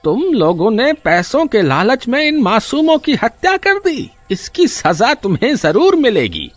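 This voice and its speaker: A performer playing a stern but just male village headman in an animated story, authoritative and deliberate. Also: medium pitch, dry recording, soft background music. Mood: indignant. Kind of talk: dramatic animated story acting.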